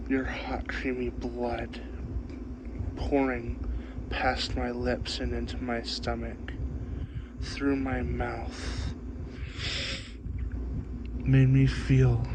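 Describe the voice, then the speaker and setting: A man playing a possessive, hungry vampire in soft, breathy ASMR roleplay, doting on his captive human with lingering, savoring pauses. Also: noisy background. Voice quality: soft, breathy low-volume voice.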